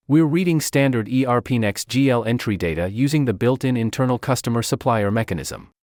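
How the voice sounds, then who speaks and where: A man presenting a software demo in scripted tutorial narration, with clipped, step-by-step phrasing. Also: medium pitch, dry recording, clean studio sound.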